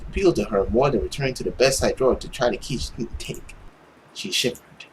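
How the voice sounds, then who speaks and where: A man's voice: mid-range voice, slight background noise, low-quality recording. A young man doing a theatrical, suspenseful read-aloud of a visual novel as a let's play host, at home. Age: young adult. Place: indoor room at home. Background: game music.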